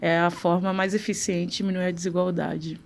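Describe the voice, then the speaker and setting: Lively woman in her thirties, lowish voice. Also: fairly quick, vocal fry, a little hiss or hum. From Recife, Pernambuco, Brazil. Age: 30s. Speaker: guest, city councillor. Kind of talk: casual interview.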